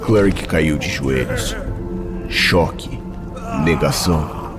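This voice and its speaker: A man with a Brazilian accent giving grave, deliberate, dramatic narration of a fantasy story. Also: rumbling low, expressive, over loud music.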